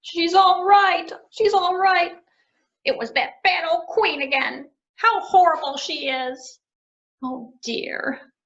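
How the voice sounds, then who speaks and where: A woman's voice: very high voice, slow pace, a bit bright, pitched above the performer's own voice, heavily acted. A woman voicing fretful, kind-hearted little dwarves who exclaim and fuss. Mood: relieved. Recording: dull lo-fi recording.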